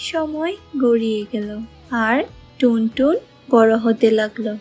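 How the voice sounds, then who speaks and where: A woman reading scripted narration for a children's animated story, as its storyteller. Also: on the high side, unhurried, slightly rough. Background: music and birdsong.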